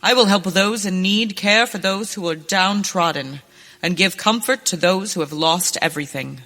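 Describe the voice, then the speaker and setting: A woman's voice: medium pitch, moderate pace, pushed and bright, natural voice. A woman playing a determined young rancher turned masked avenger in a western radio play, speaking earnestly and theatrically. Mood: resolute. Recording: reverberant hall, stage performance.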